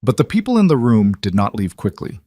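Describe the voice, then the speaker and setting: Male storyteller, fairly low pitch, deliberate scripted western narration, dry close recording. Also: very animated, dark tone, no echo. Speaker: audiobook-style story narrator.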